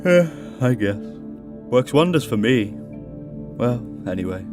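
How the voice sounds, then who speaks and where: Drowsy laid-back sleep god, acted by a man, slow languid delivery, teasing, audio drama, music behind. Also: mid-range voice, very animated. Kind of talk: audio drama character acting. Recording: voice over background music.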